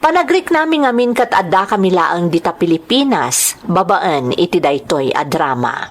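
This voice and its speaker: A woman with an Ilocano accent hosting a drama program, reading greetings and letters in a measured announcer style. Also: fairly low pitch, very animated.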